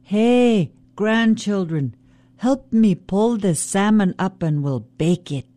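A woman voicing a loud, scheming male trickster raven who yells taunts and insults. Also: mid-range voice, animated, slow pace, no room sound, pitched above the performer's own voice. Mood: wheedling. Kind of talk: traditional oral storytelling.

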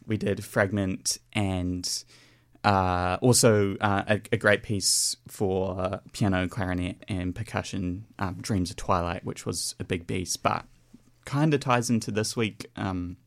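Young man, New Zealand accent, low voice, relaxed, hesitant, casual interview. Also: a little slow. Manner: relaxed, hesitant with many ums. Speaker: percussionist guest.

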